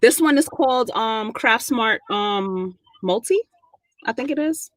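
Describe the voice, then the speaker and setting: A woman's voice: on the high side, animated, unhurried, a bit bright, no echo. A woman from Brooklyn with a New York accent, chatting casually and sassily as a knitting mentor on a livestream. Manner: sassy, chatty, laughs often.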